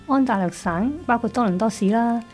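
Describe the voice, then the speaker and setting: A woman's voice: fairly high pitch, a little fast, darkish tone. A woman with a Hong Kong Cantonese accent giving a conversational testimony as a guest in a radio studio. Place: small padded radio studio. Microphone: studio desk microphone. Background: music.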